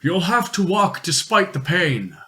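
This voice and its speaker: A man playing a calm, blunt alien explorer with formal, measured phrasing in an amateur sci-fi radio play. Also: high-pitched, voice pushed up, full character voice. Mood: grimly practical.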